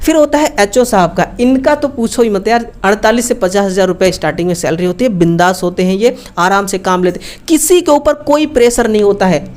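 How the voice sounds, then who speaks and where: A woman's voice: mid-range voice, slight background noise. Woman teaching in a chatty, informal way. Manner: colloquial, chatty, frequent check-in tags. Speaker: exam-prep teacher. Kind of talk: informal explanatory online lesson.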